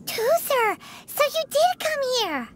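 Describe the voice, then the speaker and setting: A woman's voice: very high voice, very animated, takes it slowly, slight background noise, heavily acted. A woman voicing a tiny, childlike, chirpy fairy companion in a video game. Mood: surprised. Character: tiny squeaky excitable female fairy companion. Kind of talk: scripted video game dialogue.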